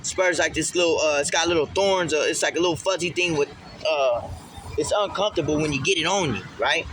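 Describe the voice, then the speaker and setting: A man's voice: on the high side, brisk, a bit bright, slight background noise. A man speaking emphatically in street slang in a casual spiritual vlog monologue, often repeating phrases for emphasis. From Puerto Rican heritage. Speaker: vlogger.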